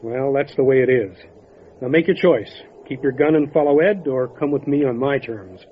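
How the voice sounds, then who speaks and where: An actor playing a steady, resolute county sheriff in an old time radio drama, matter-of-fact in manner. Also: male voice, medium pitch, moderate pace, close to an everyday voice. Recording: dull lo-fi audio with light noise.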